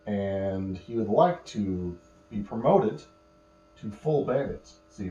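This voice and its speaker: A man telling an improvised bedtime story to children, patiently explaining words and pausing mid-phrase as he goes. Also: fairly low pitch, dark tone. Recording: roomy echo, faint electrical hum.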